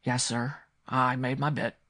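A man's voice: mid-range voice, flat delivery, a little slow, bright, forceful tone, no room sound, clean studio sound, voice pushed up. A man voicing a deferential male servant in a story, respectful, confiding and almost reverent. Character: deferential male servant, devoted betting partner.

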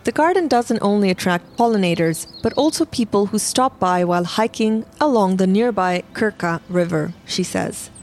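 A woman's voice: mid-range voice, animated, normal speed, few pauses, a little mellow, slight background noise. A woman reads scripted documentary narration as the narrator of a reportage.

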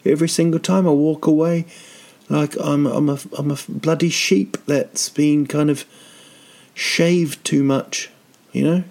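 Slow gravelly man rambling. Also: fairly high pitch, flat delivery, darkish tone. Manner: dry, rambling, self-mocking, lots of ums. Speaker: vlogger. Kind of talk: rambling comic vlog chat.

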